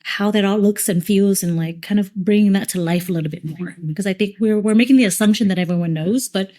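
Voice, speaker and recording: A woman's voice: medium pitch, fast, mellow. A woman on a panel, asking long, rambling questions in a casual way.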